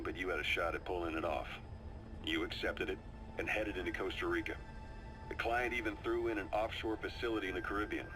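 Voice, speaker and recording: Guy acting a calm seasoned military adviser from a video game, low voice, measured confiding briefing, music playing. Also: close to an everyday voice, rough recording. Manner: measured, confiding, storytelling delivery.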